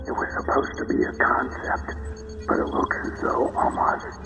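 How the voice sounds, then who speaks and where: A man playing a grim, brooding masked vigilante in an audio drama, gravelly, terse and serious. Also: normal speed, far from the mic, music in the background, natural voice. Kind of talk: fan-made audio drama acting.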